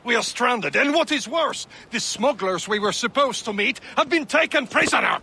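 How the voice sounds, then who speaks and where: A man's voice: very high-pitched, animated, moderate pace, gravelly, bright, forceful tone, slight background noise, full character voice. A man voicing a pompous, scornful French nobleman sea captain with a French accent in a video game. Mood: disdainful. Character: haughty French nobleman sea captain and assassin, sharp-tongued. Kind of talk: video game cutscene acting.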